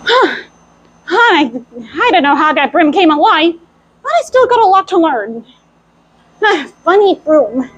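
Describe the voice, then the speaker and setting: Squeaky-high cartoon mouse sorceress. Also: female voice, very animated, measured pace, pauses often, heavily acted, poor audio. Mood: bemused. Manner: chatty, thinking aloud in short exclamations. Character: playful female cartoon mouse apprentice sorceress, cheerful and clumsy. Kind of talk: costumed character role-play video. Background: faint music.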